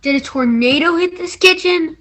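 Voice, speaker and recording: A woman reading a helpful, doting aunt from next door, kindly and brisk, in readers theater. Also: very high-pitched, fairly bright tone. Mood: cheerful, helpful.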